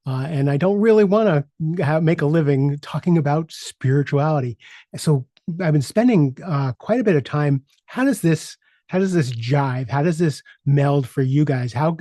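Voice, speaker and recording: A man's voice: mid-range voice, dark tone, dry recording, clean studio sound. A man in his sixties hosting a solo podcast, talking reflectively, earnestly and candidly as a coach. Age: 60s. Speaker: podcast host and leadership coach.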